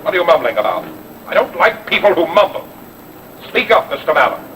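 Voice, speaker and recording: A man's voice: fairly high pitch, sing-song, fairly quick, fairly bright tone. An actor playing an arrogant, impatient rich businessman in an old-time radio drama, curt and pompous. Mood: impatient, haughty. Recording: noisy vintage radio recording, engine drone.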